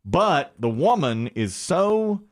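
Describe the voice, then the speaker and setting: Animated man telling a radio story. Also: a little high, takes it slowly, no echo, clean studio sound. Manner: animated storyteller, mimics voices. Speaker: radio morning show host. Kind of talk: casual radio banter.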